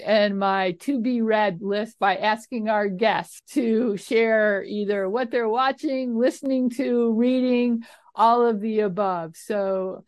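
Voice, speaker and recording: A woman's voice: mid-range voice, no room sound. Lady hosting a podcast, friendly, upbeat, very dry close sound. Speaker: podcast co-host.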